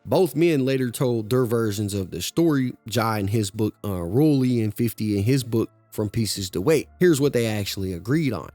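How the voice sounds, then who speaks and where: A man narrating a documentary-style story in a scripted, measured way. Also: lowish voice, lively intonation, mellow, no echo, soft background music.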